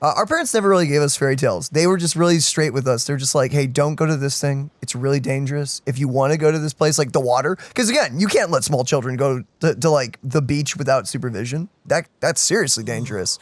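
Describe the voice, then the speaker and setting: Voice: mid-range voice, fast, hardly any pauses, no echo. A let's play commentator chatting casually and playfully, rambling informally while reacting to a game. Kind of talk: casual gameplay reaction chat. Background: faint game music.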